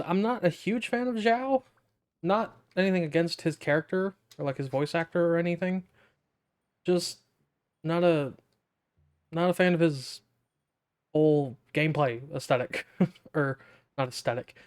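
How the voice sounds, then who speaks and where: Casual, slightly high-voiced male gamer. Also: a little slow, long pauses, clean tone. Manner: rambling, self-interrupting. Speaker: gaming video creator. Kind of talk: casual gameplay commentary.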